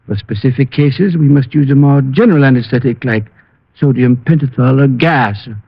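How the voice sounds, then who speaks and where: A man's voice: medium pitch, normal speed, creaky, slightly rough. A man playing a proper, slightly flustered doctor with precise, formal speech in old radio style. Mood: explanatory. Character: proper, professional male doctor, mildly flustered. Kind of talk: old-time radio drama acting. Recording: muffled old radio recording.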